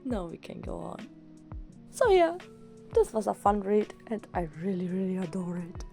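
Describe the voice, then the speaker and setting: A young woman, slightly hoarse after an illness, rambling enthusiastically as she reviews manga in a casual vlog. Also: medium pitch, sing-song, normal speed, dark tone, faint music behind. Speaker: manga vlogger. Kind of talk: casual book review chat.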